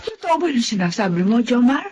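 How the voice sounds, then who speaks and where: A woman's voice: on the high side, sing-song, brisk, darkish tone, a little hiss or hum. An actress playing an emotional, longing middle-aged Filipino mother in a radio drama, solemn and dramatic. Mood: tearful, longing.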